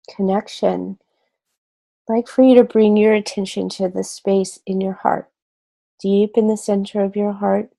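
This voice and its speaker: Female meditation guide: relaxed, warm tone, very slow delivery, gentle and soothing with spacious pauses. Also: mid-range voice, gravelly. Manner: gentle, unhurried, with long pauses between short phrases.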